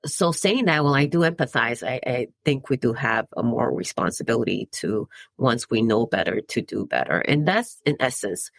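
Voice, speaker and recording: Gravelly-voiced woman musing on her podcast. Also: extremely low pitch, expressive, moderate pace, few pauses, no room sound. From Dominican Republic. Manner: conversational, tags points with "right?", chuckles between thoughts. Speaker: podcast host. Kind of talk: reflective solo podcast monologue.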